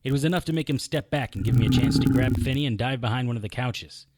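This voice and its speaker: A man's voice: medium pitch, fast talker, heavy background noise, close to an everyday voice. An actor playing a wry, impulsive, tough detective who narrates his own story in an audio drama. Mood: tense narrating. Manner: wry, hard-boiled. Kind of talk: first-person audio drama narration and acting.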